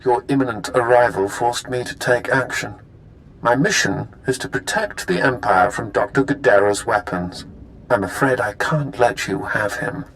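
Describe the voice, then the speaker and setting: A man playing a calculating intelligence agent in a video game, speaking in a measured, formal, smugly confident way. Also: mid-range voice, expressive, a bit bright, slight background noise, low-quality recording. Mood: cool, formal. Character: cool, calculating male imperial intelligence agent. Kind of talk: scripted video game dialogue.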